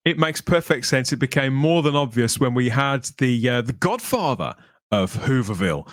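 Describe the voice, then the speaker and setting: A man's voice: medium pitch, expressive, normal speed, dry recording, clean studio sound. A man from Birmingham with an English accent, presenting and chatting as a podcast host. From Birmingham, England. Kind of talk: upbeat podcast presenting and chat.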